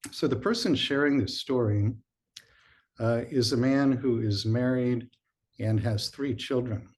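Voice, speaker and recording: Congested man telling a story to a group. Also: medium pitch. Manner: relaxed storytelling with filler uhs and repeated words. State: congested from a sinus infection. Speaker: host. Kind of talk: casual spoken talk to a group.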